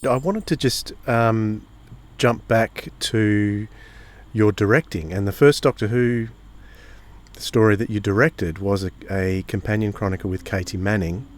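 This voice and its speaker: A man hosting a podcast interview, asking questions in a casual, halting way. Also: fairly low pitch.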